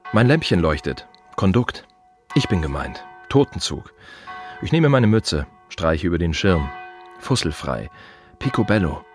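An actor playing a wry, brooding gravedigger in a radio drama monologue, speaking in clipped fragments with pointed repetitions. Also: male voice, low-pitched. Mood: solemn, wry. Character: wry, brooding male gravedigger musing on the dead.

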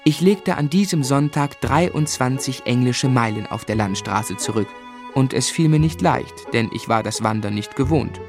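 An actor playing a grown man narrating memories of his hard boyhood in a measured, reflective literary style. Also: mid-range voice, lively intonation, over music, natural voice.

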